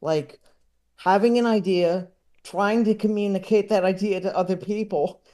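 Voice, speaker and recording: A man's voice: sits high, steady tone, normal speed, warm tone. A man chatting casually as an interview guest, hesitant and rambling, with a self-deprecating way of talking. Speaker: podcast guest, comedy writer and filmmaker.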